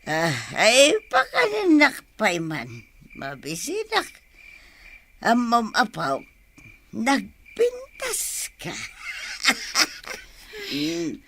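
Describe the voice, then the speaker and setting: An old Ilocano grandmother in a radio drama, homely and rambling. She drawls very slowly in a high, bright voice, dotted with small grunts and ahs. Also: huge pitch swings, a little hiss or hum, full character voice. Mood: tipsy, merry. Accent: Filipino, Ilocano. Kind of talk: Philippine radio drama acting.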